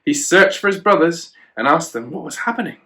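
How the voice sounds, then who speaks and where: A man's voice: a little high, animated, brisk, sharp tone. A man reading a children's storybook aloud, with chatty asides to a child. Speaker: children and youth worker, storyteller.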